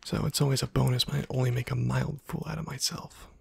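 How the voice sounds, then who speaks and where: A man giving soft-spoken ASMR commentary over a video game, husky and often near a whisper, in a rambling, self-deprecating way. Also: mid-range voice, steady tone, fast talker, slight background noise. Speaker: host of a gameplay video channel.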